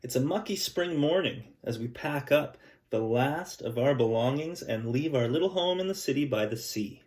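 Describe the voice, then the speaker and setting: A man reads a picture book aloud to his class, pausing to explain things in a gentle, chatty way. His voice sits at an ordinary pitch. The sound is roomy and echoey, as if the mic is a few feet away. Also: expressive. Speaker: teacher. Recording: echoey room, mic at a distance.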